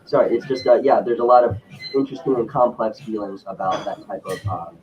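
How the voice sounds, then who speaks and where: A young man from Michigan, a podcast guest at home, talking in a measured, thoughtful way with frequent pauses. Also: fairly low pitch, muffled recording, music in the background. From Lansing, Michigan, US. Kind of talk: casual interview talk.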